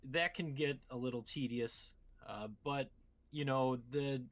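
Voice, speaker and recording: A man's voice: mid-range voice, steady tone, a little slow. A man co-hosting a podcast, chatting casually about video games and explaining things in a meandering, conversational way. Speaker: podcast co-host. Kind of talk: casual video game chat.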